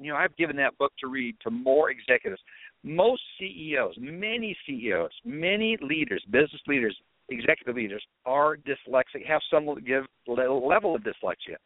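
A man's voice: medium pitch, no echo. A middle-aged man hosting a podcast, telling stories in a rambling, conversational way. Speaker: podcast host. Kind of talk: casual monologue. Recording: tinny phone-like dry audio.

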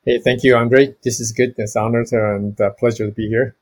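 A man's voice: medium pitch, flat delivery, a little fast, darkish tone. A man in his fifties from Taiwan, a storage technology executive answering interview questions earnestly and listing many examples.

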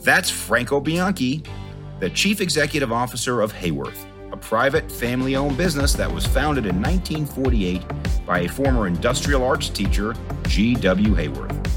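Lively male podcast host over music. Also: medium pitch, normal speed. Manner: polished, expressive delivery. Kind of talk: scripted intro, then interview questions.

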